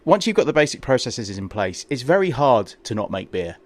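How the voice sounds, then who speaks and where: A man's voice: mid-range voice, sing-song, fairly quick. A man from London with a London accent, hosting a podcast interview in a small brewery. He talks with enthusiasm, often repeats words as he starts a thought, and is recorded close and dry. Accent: London English. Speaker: podcast host. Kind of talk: casual interview chat.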